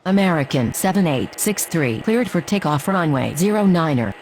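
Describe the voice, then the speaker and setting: A woman's voice: low voice, lively intonation, moderate pace, a little mellow. A woman voicing a composed, formal air traffic radio operator in a game, using clipped phraseology.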